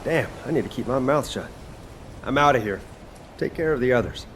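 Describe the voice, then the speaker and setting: A man's voice: mid-range voice, lively intonation, brisk, fairly bright tone, slight background noise, lightly acted. A man voicing a laid-back, sardonic young chef in a video game, speaking casually and expressively. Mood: casual, determined.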